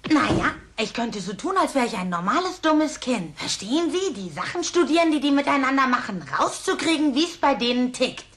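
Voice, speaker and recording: High-pitched rascally cartoon schoolboy. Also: female voice, big pitch swings, normal speed, few pauses, a bit bright, heavily acted. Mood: sly, evasive. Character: cheeky ten-year-old schoolboy, rascally, evasive. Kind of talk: German cartoon dub acting.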